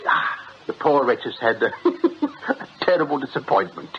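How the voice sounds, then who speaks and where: An actor playing a jovial, wily British swindlers' ringleader in a radio drama, with an ironic, chuckling, avuncular way of talking. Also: male voice, mid-range voice, huge pitch swings, a little slow. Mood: amused, brisk. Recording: dull radio recording, faint noise.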